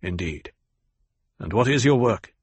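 A man voicing a calm, shrewd noble duke in an audiobook, with measured, courteous authority. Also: on the low side, slightly rough, no echo, exaggerated voice. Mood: probing, cool. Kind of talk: audiobook character acting.

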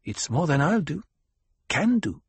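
A man's voice: fairly high pitch, very animated, a little slow, slightly rough, no room sound, pitched above the performer's own voice, exaggerated voice. A man voicing an anxious, proud tribeswoman who is no longer young, plaintive and emphatic, in an audiobook. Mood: guarded.

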